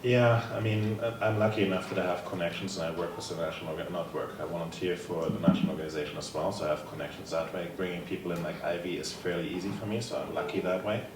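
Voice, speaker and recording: A man's voice: sits low, steady tone, quick pace, few pauses. A man from Germany with a German accent gives a conference presentation, telling stories in a casual, upbeat way and answering questions. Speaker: table tennis program leader presenting. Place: conference room. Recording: echoey room, from far off, noisy.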